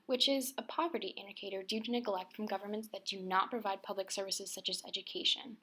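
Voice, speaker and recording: A young woman reading a personal essay as voice-over, soft and reflective, often close to a whisper. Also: medium pitch. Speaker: student filmmaker narrating.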